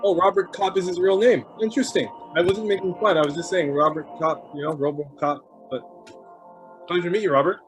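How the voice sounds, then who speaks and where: A man's voice: high-pitched, fairly even intonation, brisk, faint music behind. A man hosting an online video call, chatting casually and greeting people one by one while he jokes about stalling. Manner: chatty, joking, warm greetings to each arrival. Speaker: host.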